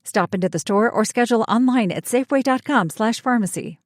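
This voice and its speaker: A woman's voice: a little high, a little fast, no echo. Female sponsor announcer, dry studio read. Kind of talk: scripted ad read.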